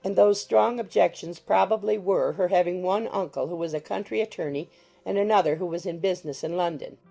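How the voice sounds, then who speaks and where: A woman's voice: fairly low pitch, a little fast, gravelly, dark tone, low-quality recording. A woman reading scripted literary narration for an audiobook.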